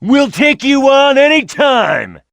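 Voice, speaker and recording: Tough hot-headed echidna fighter for a game, blunt, swings around a lot, dry close sound. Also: male voice, very high-pitched, very animated, slow, gravelly, dry recording, full character voice. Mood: fired up. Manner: forceful, blunt, proud. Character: tough, hot-headed male echidna brawler, proud and gruff. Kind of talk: video game voice acting.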